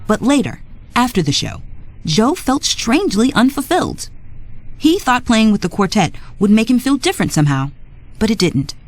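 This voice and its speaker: Expressive woman reading a storybook. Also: on the high side, normal speed. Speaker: storybook narrator. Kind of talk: scripted read-along narration. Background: music.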